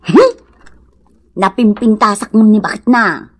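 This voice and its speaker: A woman acting a simple-minded, excitable married mother in an Ilocano comedy radio drama, with an Ilocano accent and drawling, melodramatic delivery. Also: mid-range voice, a little slow, close to an everyday voice. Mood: coy, slow.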